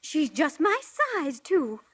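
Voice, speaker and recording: A woman's voice: high voice, big pitch swings, slow, a bit bright, no room sound, clean studio sound, exaggerated voice. An actress playing an eager, curious, effusive female neighbor in 1940s radio drama style. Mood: gushing, envious.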